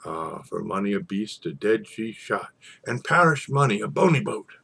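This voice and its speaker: A man reciting an old narrative poem in a theatrical storytelling style, with dramatic pauses and swells. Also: fairly low pitch, sing-song, creaky, sharp tone, slight background noise. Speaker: poetry reader.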